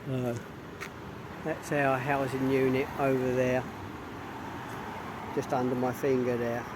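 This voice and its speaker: A man casually vlogging as he walks outdoors along a city street, rambling with pauses and restarts, his voice a little hoarse. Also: mid-range voice, completely flat delivery. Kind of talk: casual walking vlog. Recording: street traffic noise behind. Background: traffic noise.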